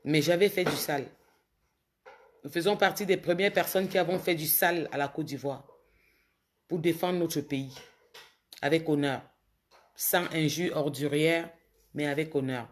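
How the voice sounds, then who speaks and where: A woman with a cold, a bit hoarse, talking casually to viewers on a phone livestream and scolding commenters with indignation. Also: low voice, normal speed, long pauses, slight background noise. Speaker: social media livestreamer.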